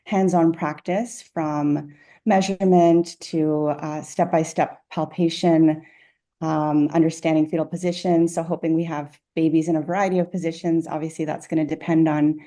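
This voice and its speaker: A woman's voice: deep voice, level tone, normal speed, mellow. A woman, a midwife, enthusiastically presenting her workshop over a video call. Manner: enthusiastic, upbeat. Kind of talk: casual presentation.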